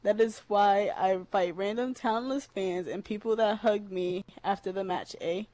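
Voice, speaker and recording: A perky female wrestling champion from Canada, as a woman reader puts her on, speaks at a medium pitch. She is sugary and phony in a comic wrestling promo, hanging "eh" on the end of nearly every sentence. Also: normal speed, dark tone, dry recording, close to an everyday voice. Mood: fake cheerful.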